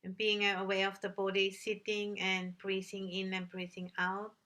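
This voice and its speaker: Woman leading a guided meditation, really steady flat pitch, bright clear-edged voice, lots of pauses. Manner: unhurried, gently instructing with long pauses. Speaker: meditation teacher.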